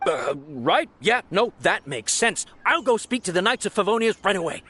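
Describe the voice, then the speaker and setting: A man playing an excitable townsman in a video game. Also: high-pitched, normal speed, a little hiss or hum, heavily acted. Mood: nervous.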